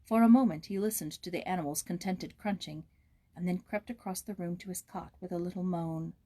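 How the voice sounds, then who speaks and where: A woman narrating a storybook aloud in a gentle, measured, expressive way. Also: on the low side, no room sound.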